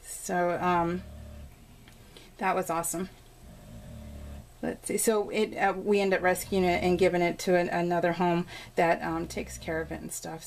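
A woman chatting casually and informally as she narrates a craft video, telling stories in a rambling way. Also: medium pitch. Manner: rambling, informal storytelling with exclamations. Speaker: craft vlogger showing a scrapbook layout. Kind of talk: casual, chatty real-time craft voice-over. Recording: clear background noise.

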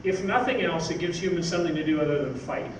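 An older man giving an informal, halting talk from notes on a stage. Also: fairly high pitch. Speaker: speaker, magazine editor. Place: theatre stage, indoors. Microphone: stage mic on stand, picked up by distant camera. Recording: roomy, noisy stage recording from far off.

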